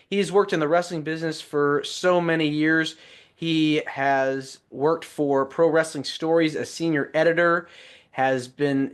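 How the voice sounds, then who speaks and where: A man hosting a podcast, warmly upbeat and enthusiastic as he introduces and questions his guest. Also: a little high. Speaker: podcast host.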